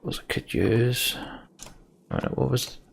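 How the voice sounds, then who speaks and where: A man's voice: medium pitch, measured pace, gravelly, slightly rough. A man giving casual video game commentary, thinking aloud in murmured asides to himself. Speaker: video game player, let's play host. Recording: background noise, clicking and keys.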